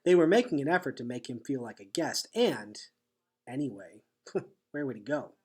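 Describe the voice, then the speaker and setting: A man reads a storybook aloud in an expressive, teacherly way. His voice is husky and sits at a middle pitch, swinging up and down as he stresses phrases. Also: normal speed. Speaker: teacher reading a chapter aloud. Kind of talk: read-aloud storybook narration.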